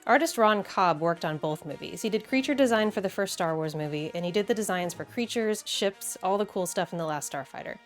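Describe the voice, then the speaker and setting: A woman's voice: fairly low pitch, lively intonation, a little fast, few pauses, faint music behind. A woman hosting a video essay in a casual, conversational and enthusiastic way, with wry asides.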